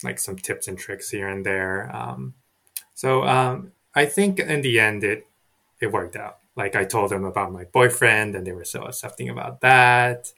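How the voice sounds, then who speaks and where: A young man from Korea, a native Korean speaker, talking as a podcast guest in a soft, hesitant way with many fillers and restarts. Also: on the low side, normal speed, vocal fry. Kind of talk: casual interview chat.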